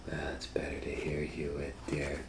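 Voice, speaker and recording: Guy doing a sneaky wicked wolf pretending to be granny, low and hushed, fake sweet, storybook style. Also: completely flat delivery, moderate pace, reverberant, far from the mic, noisy background. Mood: slyly coaxing. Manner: coaxing, falsely sweet. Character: sly wicked male wolf posing as grandma. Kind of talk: children's storybook read-aloud.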